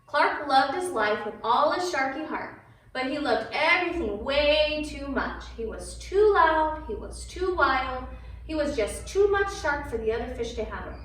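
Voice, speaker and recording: A woman's voice: high voice, expressive, unhurried, a bit bright. A woman storyteller and presenter speaking to children in a read-aloud, instructional style. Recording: echoey room from far, faint noise.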